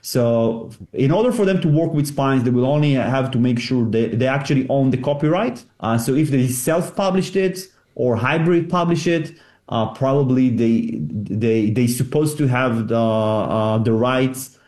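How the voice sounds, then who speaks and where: A man's voice: mid-range voice, unhurried, a little mellow, echoey room. A man with an Israeli accent, a company head answering interview questions earnestly and often repeating words as he restarts. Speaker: company CEO guest.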